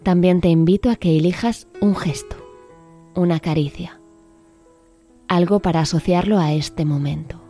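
A woman presenting a guided meditation with a calm, gentle, measured delivery. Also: fairly low pitch, faint music behind. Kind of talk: scripted meditation introduction.

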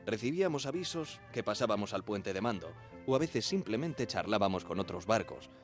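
A young telegraph operator from the 1910s in a radio drama. His voice is low and he tells his story in an earnest, measured way, with music behind him. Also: big pitch swings. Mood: measured, reminiscing. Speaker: actor narrating a radio drama. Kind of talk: first-person radio fiction narration.

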